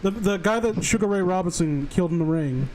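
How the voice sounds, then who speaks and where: A man chatting casually as a stream co-host, excitable and sweary, stumbling into restarts as he comments. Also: high voice, fairly quick, rough recording. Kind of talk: casual banter and riffing on a story. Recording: faint background noise.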